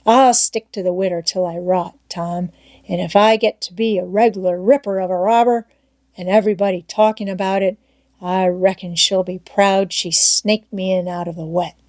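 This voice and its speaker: A rough, stubborn runaway boy from a storybook as acted by a woman narrator. He grumbles in folksy, ungrammatical country dialect and speaks plainly. The pitch is middling and the sound is dry. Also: steady tone, normal speed, darkish tone, no echo, close to an everyday voice. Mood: eager. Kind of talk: storybook character voice in audiobook reading.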